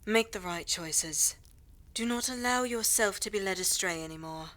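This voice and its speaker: A woman's voice: medium pitch, normal speed, a bit bright, natural voice. A woman playing a stern yet merciful mystical queen mother in an audio drama, speaking in solemn, formal pronouncements. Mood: solemn, forgiving. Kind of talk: audio roleplay drama acting.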